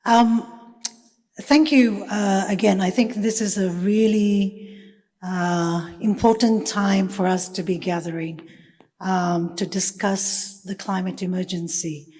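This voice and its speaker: A woman from Fiji giving a thoughtful conference talk, with many pauses and fillers. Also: mid-range voice, fairly even intonation, darkish tone. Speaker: panel speaker. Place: conference hall. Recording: reverberant conference hall.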